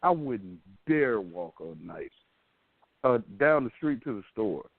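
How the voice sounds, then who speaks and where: A man's voice: lowish voice, sing-song, slow, vocal fry, dry recording. A man in his forties chatting casually as a panelist on a talk show. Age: 40s. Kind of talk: casual chat. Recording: tinny, phone-like band-limited audio.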